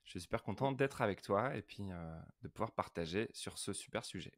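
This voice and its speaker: A man's voice: lowish voice, animated, fairly quick, no echo, studio quality. A middle-aged man from northern France, chatting casually as an interview guest, often feeling his way through phrases. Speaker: podcast guest, worship pastor.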